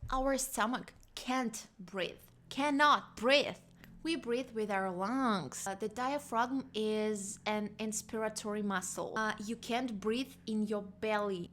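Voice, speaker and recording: A woman's voice: on the high side, a bit bright. A young woman vocal coach with a non-native English accent, giving a casual video lesson in a chatty, emphatic way and repeating her key words. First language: not English.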